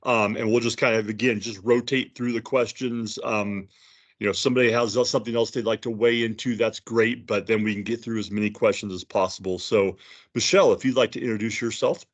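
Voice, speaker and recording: A man hosting an online panel by video call, unhurried and loose with his fillers. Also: on the low side, steady tone. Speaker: panel moderator.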